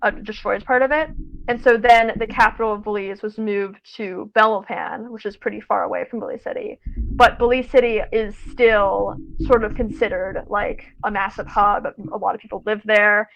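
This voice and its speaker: A woman's voice: mid-range voice, fairly even intonation, moderate pace, creaky, muffled recording. A teenage American girl chatting over a call, rambling with lots of fillers and self-corrections. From United States. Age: teens. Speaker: student guest. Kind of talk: casual conversation.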